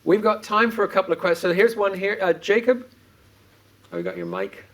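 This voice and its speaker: A man moderating a panel at a live conference, earnest and halting, often restarting his sentences. His voice sits a little high for a man. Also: wildly swooping pitch, a bit bright. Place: conference venue on stage.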